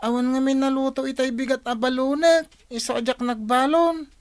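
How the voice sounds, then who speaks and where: A woman's voice: sits high, fairly even intonation, normal speed, natural voice. A woman playing a hungry, complaining school-age child in an Ilocano comedy radio drama.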